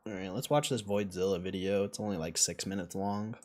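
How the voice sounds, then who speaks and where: Low-voiced man, casual stream host. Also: steady tone, fairly quick, darkish tone. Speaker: livestream host. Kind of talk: casual livestream commentary.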